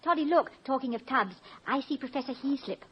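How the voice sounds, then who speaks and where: An actress playing an affectionate, gently teasing and supportive wife of a college president in a 1950s radio comedy. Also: female voice, sits high, expressive, brisk. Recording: muffled old radio recording, faint crowd and noise.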